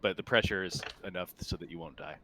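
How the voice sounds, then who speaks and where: A man running a tabletop roleplaying game as game master, thinking aloud in a halting, unscripted way. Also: low voice, bright, forceful tone, a little hiss or hum.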